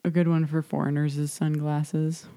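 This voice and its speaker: A woman's voice: deep voice, flat delivery, normal speed, mellow. A young American woman chatting casually as podcast host, hesitant and self-deprecating with frequent laughs. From United States. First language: English. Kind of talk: casual chat.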